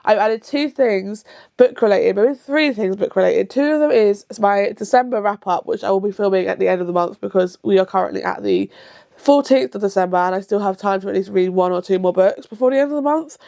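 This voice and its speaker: A woman's voice: mid-range voice, creaky, mellow. Chatty woman around thirty. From England. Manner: chatty and rambling, with frequent self-corrections; rushed at times. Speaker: book vlogger. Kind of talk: casual to-camera vlog.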